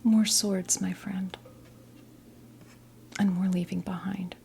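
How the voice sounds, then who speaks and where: An American woman in her fifties playing a kindly witch tarot reader in an ASMR role play, whispering softly, gently and reassuringly with hesitant, unhurried phrasing. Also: medium pitch, level tone, slight background noise. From New Jersey, United States. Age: 50s. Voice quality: soft breathy whisper.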